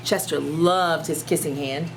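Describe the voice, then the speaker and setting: A woman's voice: sits low, steady tone, unhurried, noisy background. A woman teacher reading a storybook aloud to young children, expressively and with simple explanations.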